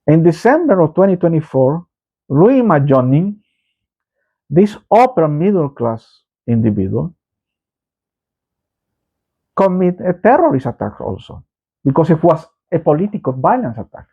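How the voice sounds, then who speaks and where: A middle-aged man from El Salvador with a Salvadoran Spanish accent gives opinionated political commentary in an emphatic, halting way. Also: on the high side, very animated, slightly rough. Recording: dull lo-fi microphone sound.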